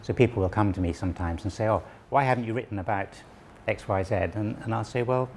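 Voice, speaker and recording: A man around sixty, originally from Wales, calmly explaining local history and his website as an interview guest, with small restarts. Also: fairly low pitch, fast talker, a little hiss or hum. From southwest Wales. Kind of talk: relaxed conversational explanation.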